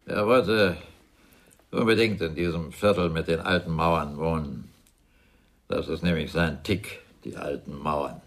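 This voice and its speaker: A man's voice: extremely low pitch, fairly even intonation, unhurried, full character voice. An actor playing a bitter, sarcastic older father who blusters scornfully in a German radio crime drama. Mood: indignant, scornful. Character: sardonic, bitter, well-off father, widowed businessman.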